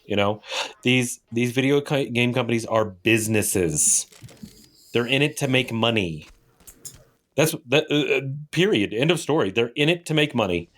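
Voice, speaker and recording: A man with a medium-pitched voice talks casually as a podcast co-host. He is opinionated and leans hard on his points, often saying them again for stress. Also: expressive, normal speed, a bit bright.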